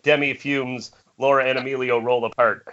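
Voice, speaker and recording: A man narrates screenplay action at an amateur table read. His voice is mid-pitched and bright. The sound is a little dull, like an internet call. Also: little pitch movement, moderate pace. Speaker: narrator reading stage directions. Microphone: remote call from home. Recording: muffled internet call audio.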